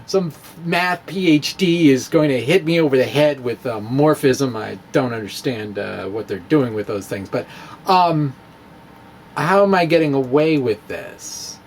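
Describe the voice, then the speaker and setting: A man giving a playful, self-mocking demonstration of math with clay and pipe cleaners. He often pauses and restarts while he works with his hands. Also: medium pitch, expressive, slight background noise. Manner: wry, self-mocking, chuckles at own jokes. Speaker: physics blogger demonstrating math.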